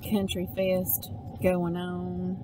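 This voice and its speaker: Woman in her thirties, chatty and folksy, lowish voice, road noise from a moving car. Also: monotone, extremely slow. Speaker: vlogger. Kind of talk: casual travel vlog. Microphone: phone held at arm's length.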